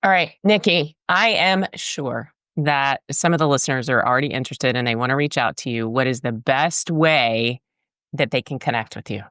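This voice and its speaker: A woman hosting a podcast interview, asking her guest a question in a plain, measured way. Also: extremely low pitch, fairly bright tone, dry recording, studio quality. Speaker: podcast host.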